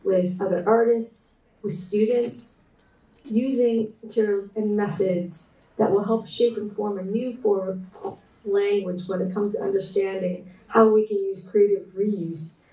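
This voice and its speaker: A middle-aged woman with a Canadian accent, giving a conference keynote in a reflective, measured way with frequent restarts. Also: medium pitch, fairly even intonation, mellow. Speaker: keynote speaker, textile designer. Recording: roomy echo, voice distant from mic.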